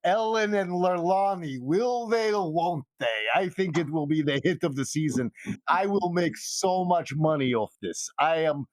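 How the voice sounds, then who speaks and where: A man's voice: sits high, measured pace, no echo, pitched above the performer's own voice. Man putting on a showy, gushing bar owner schemer. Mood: gushing, pleased.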